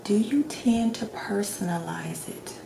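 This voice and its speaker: Slow, soft-spoken ASMR woman doctor. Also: mid-range voice, a crowd in the background. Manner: gentle, hushed, often near-whispered, long pauses between questions. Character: calm doctor giving a personality assessment. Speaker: ASMR roleplayer playing a doctor. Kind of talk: soft-spoken ASMR roleplay, clinical questioning. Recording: roomy echo, clear background noise.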